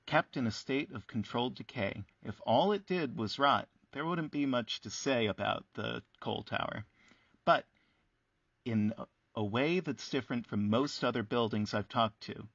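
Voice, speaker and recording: A man's voice: medium pitch, gravelly, fairly bright tone, slightly rough, exaggerated voice. A man playing an earnest, wry radio host who interviews buildings in a radio play, reflective and theatrical. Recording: echoey, muffled sound.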